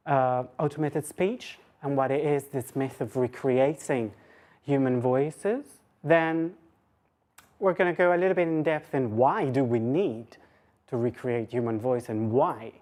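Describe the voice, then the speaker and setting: A woman with a low voice delivers an academic lecture in an informal register. She talks conversationally, as a lecturer thinking aloud, and cuts into her own sentences with side remarks. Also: darkish tone. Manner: conversational, self-interrupting asides. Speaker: university lecturer giving a talk.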